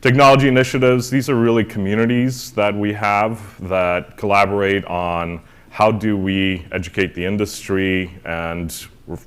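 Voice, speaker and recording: A man with a low, smooth and resonant voice welcomes a conference audience from the stage. He sounds upbeat and emphatic, pushing his voice to carry across the hall as he runs through the organization's work. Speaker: executive director opening a conference. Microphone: handheld or podium mic.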